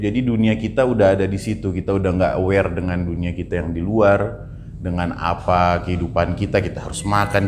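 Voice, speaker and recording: Male, 29, deep register, informal interview guest who repeats phrases as he explains; slightly noisy room. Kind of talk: casual personal account.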